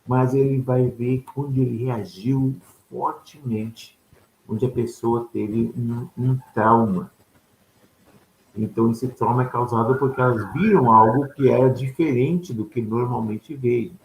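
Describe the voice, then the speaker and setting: A man's voice: mid-range voice, fairly even intonation, measured pace. A man giving an explanatory lecture, asking questions aloud and answering them himself, often checking in with his listeners. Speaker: ufology lecturer. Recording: echoey room with faint background noise.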